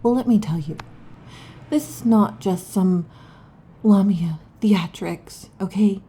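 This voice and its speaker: A woman voicing a restless, irritable female snake-tailed lamia in an ASMR roleplay, huffy and dramatic, often slipping into soft whispers. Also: mid-range voice, takes it slowly, slightly rough. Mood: exasperated.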